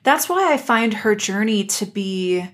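A woman's voice: mid-range voice, animated, measured pace, fairly bright tone. A woman with a mid-range voice is co-hosting a casual discussion podcast. She talks in a reflective, inquisitive way, working through ideas as she goes. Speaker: podcast co-host. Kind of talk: casual discussion of an animated series.

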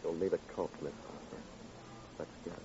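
An actor playing a somber, world-weary New York police detective, grave and dramatic, in a vintage radio noir drama. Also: male voice, low voice, fairly even intonation, fairly quick, warm tone, slight background noise. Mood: grave, accusing. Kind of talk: 1940s-50s radio noir acting and narration. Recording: dull old radio recording. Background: dramatic music.

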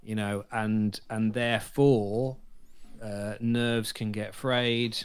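A man's voice: on the low side, fairly even intonation, takes it slowly, clean tone, no echo. Man chatting in a dry-sounding recording. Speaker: podcast co-host. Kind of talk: casual podcast chat.

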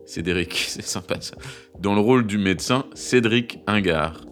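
Man, credits announcer, offhand and halting, fairly low voice, light music bed. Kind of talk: informal podcast credits reading.

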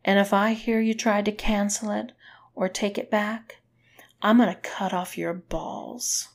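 Sneering, gravelly dominatrix, acted out by a woman. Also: medium pitch, steady tone, measured pace. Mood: conspiratorial, menacing. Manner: sneering, commanding, taunting.

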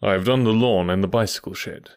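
A man voicing a brisk, methodical middle-aged male detective who explains his reasoning with precise confidence. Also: on the low side, clean tone, no echo, clean studio sound, natural voice.

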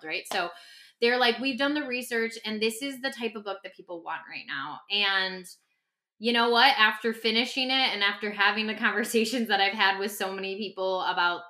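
Bright-voiced woman talking casually. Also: mid-range voice. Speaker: podcast host and author being interviewed. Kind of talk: casual conversational explanation.

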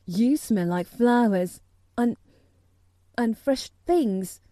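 A woman voicing a bedridden boy who speaks with excited wonder. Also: a little high, unhurried, no echo. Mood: delighted. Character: sickly boy, suddenly delighted.

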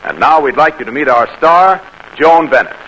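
A man's voice: fairly high pitch, lively intonation, moderate pace, music in the background, rough recording. A man announcing a radio drama series in a polished, formal 1940s style.

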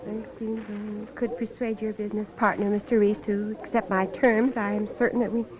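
A woman's voice: mid-range voice, level tone, normal speed, a little mellow, lightly acted. A woman playing a trusting, eager sweetheart in 1940s radio drama style, with an earnest, breezy manner. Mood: gentle, curious. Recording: muffled old radio with faint noise.

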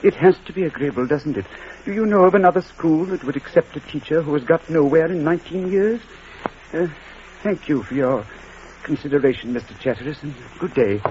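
An actor playing a shy, fussy middle-aged British schoolmaster in an old radio drama, speaking in a hesitant, stammering, formal way. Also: male voice, a little high, brisk, a little mellow, natural voice. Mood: crestfallen. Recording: dull, faintly noisy vintage radio recording.

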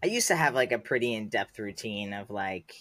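A man's voice: fairly low pitch, animated, a little fast, fairly bright tone, dry recording, clean studio sound. A man in his thirties, a podcast guest chatting casually and rambling with many "like" fillers. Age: 30s. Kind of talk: casual chat.

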